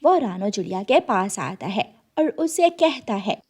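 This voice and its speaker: A woman's voice: mid-range voice, sing-song, measured pace, a little mellow, husky. A woman narrator reading a scripted children's story.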